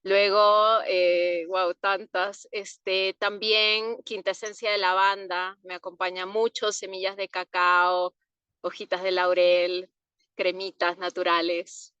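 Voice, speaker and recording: Slow-spoken Peruvian woman. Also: mid-range voice, level tone, clear, resonant tone, dry recording, dull audio. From Peru. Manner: unhurried, thoughtful, soft laughs between answers. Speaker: interview guest, herbalist. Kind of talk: relaxed interview answers.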